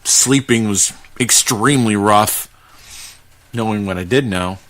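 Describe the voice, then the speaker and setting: A man narrating a first-person creepypasta in a conversational, halting way, with dramatic pauses as he goes. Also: lowish voice, fairly bright tone. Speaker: podcast host reading a horror story.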